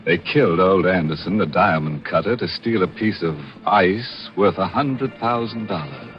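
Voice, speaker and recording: A macabre horror host from vintage radio. His very low voice is sardonic and mock-sinister. The old recording is dull, with faint music behind. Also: expressive, normal speed. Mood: ghoulish amusement. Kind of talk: 1940s radio horror hosting.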